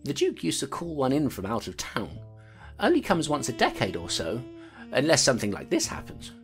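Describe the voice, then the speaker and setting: A tough, wry constable in an audio drama, her voice low but swinging widely. She talks bluntly and guards what she says. Music plays behind her. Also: huge pitch swings, normal speed, exaggerated voice. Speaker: constable narrating her case. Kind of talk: audio drama narration and dialogue.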